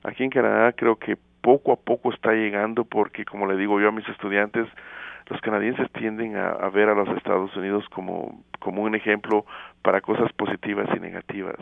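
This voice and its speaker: Gravelly low-voiced man on a phone line. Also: flat delivery, no echo. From Guatemala. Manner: thoughtful, many fillers and pauses. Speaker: interview guest, teacher. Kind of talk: casual interview. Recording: tinny phone line, radio broadcast.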